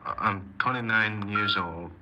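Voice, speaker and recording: A man's voice: lowish voice, unhurried, lo-fi sound, natural voice. An actor playing a sullen, aimless young man confessing his crimes in an offhand, hesitant way, in 1950s radio drama style. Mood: hesitant, subdued. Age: young adult. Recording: noisy old 1950s radio recording.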